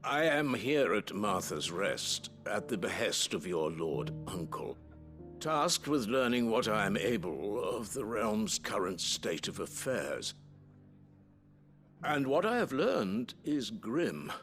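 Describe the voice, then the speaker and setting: An actor playing a formal, deferential chief steward of a noble estate in a fantasy game. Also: male voice, on the low side, sing-song, fairly bright tone, faint music behind, full character voice. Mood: grave. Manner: formal, courtly and deliberate. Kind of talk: scripted fantasy game dialogue.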